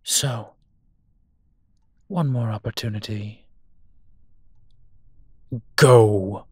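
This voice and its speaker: A man's voice: lowish voice, extremely slow. A man playing a cocky, menacing monster detective who protects a child in a roleplay audio drama, with taunting threats that drop into breathiness.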